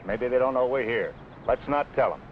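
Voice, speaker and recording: A man's voice: medium pitch, lively intonation, fairly quick, natural voice. An actor playing a cautious, dryly humorous American frontiersman with plainspoken backwoods phrasing, in a vintage adventure serial. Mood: wary. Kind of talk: 1950s adventure serial acting. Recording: muffled, noisy old broadcast soundtrack.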